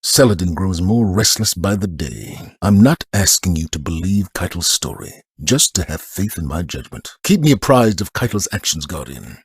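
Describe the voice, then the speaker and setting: An actor playing a grave, steadfast military commander in a video game, speaking in a solemn, measured, authoritative way. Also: male voice, sits low, sing-song, breathy edge, no room sound, studio quality, heavily acted. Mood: guarded, stern. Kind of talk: scripted video game voice acting.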